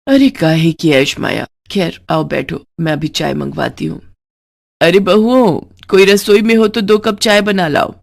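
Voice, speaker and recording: A woman's voice: fairly low pitch, a little slow, gravelly. A woman voicing a middle-aged mother-in-law, stern and exasperated, in a scolding manner for a moral story. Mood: hospitable.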